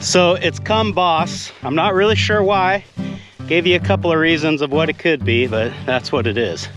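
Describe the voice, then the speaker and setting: A man's voice: on the high side, normal speed, music in the background, low-quality recording. A young man filming his farm vlog, talking casually through the work and thinking aloud as he goes. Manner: easygoing, thinks aloud and corrects himself. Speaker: farmer and video host. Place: outdoors and in a hay barn on a farm.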